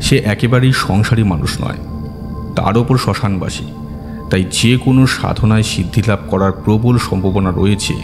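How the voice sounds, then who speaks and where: Deep-voiced man narrating horror, in reverb. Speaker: story narrator. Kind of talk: dramatic scripted horror narration. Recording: long reverb, music bed behind.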